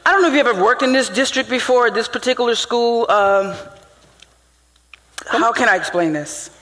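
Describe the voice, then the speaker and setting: A woman's voice: on the high side, animated, normal speed. A middle-aged woman playing a firm, caring school principal in a stage drama, speaking emphatically. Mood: polite, flustered. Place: theatre stage before an audience. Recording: echoey theatre hall, loud music.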